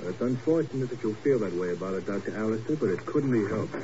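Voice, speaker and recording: Male actor as stern army colonel, formal, dark tone, 1950s radio drama, hissy old recording. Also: fairly low pitch, expressive, fast talker, dull audio, natural voice. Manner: formal, blunt.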